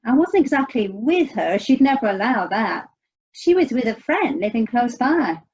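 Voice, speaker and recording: A woman's voice: fairly high pitch, big pitch swings, moderate pace, a little mellow, slightly rough, lo-fi sound, full character voice. An actress in an audio play playing an anxious, sardonic American woman searching for her estranged sister. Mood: hurt, angry.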